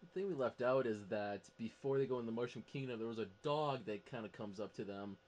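Male podcast panelist, average pitch, informal conversational delivery. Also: fairly quick, a little mellow, muffled recording. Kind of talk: casual chat.

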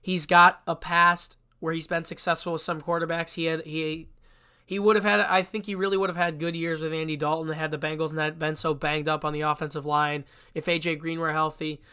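Young male podcast host, congested from a cold, fairly high and bright, casual and blunt. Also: flat delivery, a little fast, clean tone. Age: young adult. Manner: chatty and blunt, with frequent restarts. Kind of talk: casual solo sports podcast.

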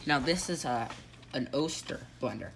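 Teen boy host, deadpan joke cooking show, phone-filmed in a kitchen, hissy audio. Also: mid-range voice, normal speed, creaky. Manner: deadpan, rambling, with ums and mock instructions. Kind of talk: joking mock cooking show. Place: home kitchen, indoors. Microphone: phone camera held by a friend. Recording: noisy home phone video.

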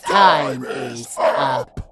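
A woman's voice: extremely low pitch, lively intonation, heavy background noise, full character voice. A woman playing a sadistic, playful demon monster in a horror audio drama, gloating and speaking as a collective. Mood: taunting. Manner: slow, gloating, speaks of itself as we. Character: sadistic, playful hive-mind demon monster hungry for blood.

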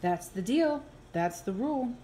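A woman reading a children's picture book aloud in an expressive, playful way, with exaggerated reactions. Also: fairly high pitch, measured pace, slight background noise. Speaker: early childhood program coordinator reading aloud. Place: indoors, filmed over an open book.

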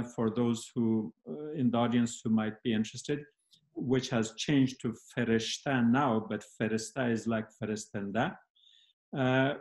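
A man speaking as a panel guest in a reflective discussion, slow and measured with many pauses and fillers, on a video call from a home study. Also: medium pitch, a little mellow. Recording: roomy video call audio.

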